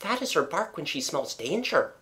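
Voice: medium pitch, very animated, normal speed, bright, forceful tone, slightly rough, voice pushed up, exaggerated voice. A storybook reader voicing an earnest, sensible young girl with open feeling. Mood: grave, certain.

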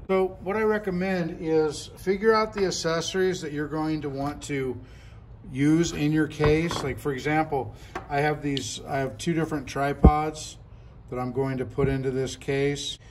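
A middle-aged man walks through a product demo in a relaxed, chatty way, narrating each step as he goes. He repeats himself often and talks like he is showing a friend. His voice sits at a middle pitch, with a faint hum of room noise behind him in a classroom. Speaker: product reviewer. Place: classroom at a table.

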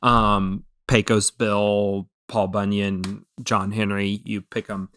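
A man's voice: lowish voice, level tone, slow, fairly bright tone. Enthusiastic American man chatting about films. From American Midwest. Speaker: podcast co-host. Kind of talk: casual film discussion. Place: small room at home, indoors. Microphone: close podcast microphone with headphones.

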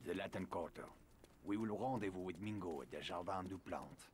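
An actor playing a calm, guarded male resistance leader in a video game. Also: deep voice, slight background noise, close to an everyday voice. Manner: measured, secretive. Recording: game audio over faint music.

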